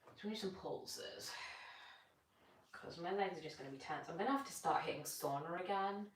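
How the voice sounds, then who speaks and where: A woman's voice: fairly low pitch, normal speed. A young British woman chatting casually on a live stream while she stretches, rambling and answering comments. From Britain. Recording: echoey room, distant from mic.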